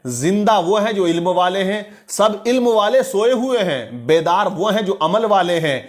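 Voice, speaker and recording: Male commentator, high-pitched voice, preachy and condemning, opinionated religious news delivery. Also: expressive, moderate pace. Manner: preachy, denunciatory, quoting religious sayings. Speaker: commentator and presenter of an online channel.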